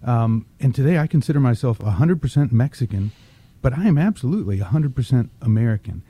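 A man from Texas, founder and CEO of an agency, giving measured interview answers with frequent ums. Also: medium pitch, lively intonation, mellow, husky. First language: English, also grew up with Spanish.

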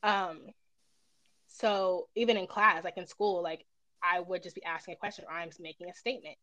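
A woman's voice: lowish voice, fairly even intonation, moderate pace, bright, forceful tone, no room sound. A woman in a casual personal conversation, speaking candidly and reflectively as she feels her way through her phrasing.

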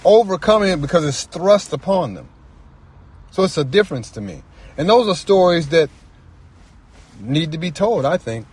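Husky-voiced man, earnest and reflective. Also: on the high side, very animated, a little mellow. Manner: earnest, halting, repeats phrases for emphasis. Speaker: guest author and storyteller. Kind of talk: casual, reflective personal talk.

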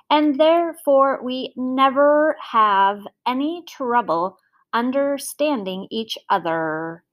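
Storybook role: smug, prim matron, acted by a woman reader, very slow, halting, painfully precise diction. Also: fairly high pitch, pushed and bright, full character voice. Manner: halting, drawn-out, over-precise enunciation with little ahs. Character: prim, condescending mother lecturing on correct speech.

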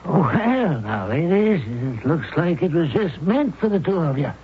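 A folksy country storekeeper. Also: male voice, a little high, very animated, unhurried, a little hiss or hum. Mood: friendly. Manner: folksy, easygoing. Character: friendly rural male hardware store owner. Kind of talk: 1970s radio drama acting. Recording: tinny phone-like old radio recording.

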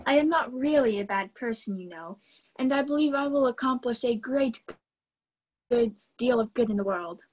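A woman voicing a remorseful male demon who speaks softly and pleadingly in a storybook reading. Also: fairly high pitch, moderate pace, natural voice, rough recording. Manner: soft, pleading put-on voice.

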